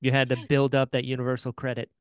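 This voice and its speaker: A man's voice: medium pitch, level tone, quick pace, clean tone, dry recording, clean studio sound. A man hosting a podcast, dropping short joking reactions into casual chat. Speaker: podcast host.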